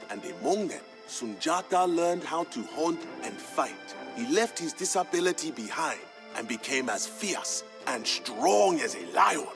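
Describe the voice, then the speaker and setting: Sweeping, theatrical griot storyteller over music. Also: male voice, on the high side. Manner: deliberate, grave, theatrical. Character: proud West African griot storyteller, solemn bard of royalty. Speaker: narrator. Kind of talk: dramatic scripted storytelling narration for a game.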